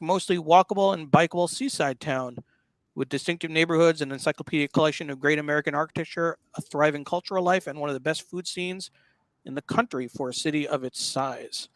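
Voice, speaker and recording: A man hosting a casual live talk show, explaining what he is showing in a halting, explanatory way. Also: on the high side, fairly bright tone, no room sound. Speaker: show host. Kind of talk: casual live talk walking through a newspaper.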